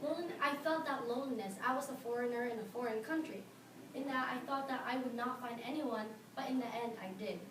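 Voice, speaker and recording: Filipino-accented teen girl, high voice, earnest prepared speech with fillers, in an echoey, noisy room some way from the mic. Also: distant mic. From Philippines. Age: teens. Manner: earnest, halting with fillers, stressing key words. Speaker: high school student speaker.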